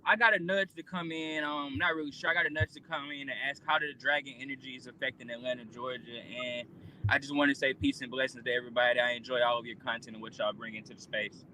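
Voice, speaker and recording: A man's voice: mid-range voice, fairly even intonation, fast talker, bright, forceful tone, slight background noise, rough recording. A man calling in with a casual, friendly question. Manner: laid-back, friendly. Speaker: caller.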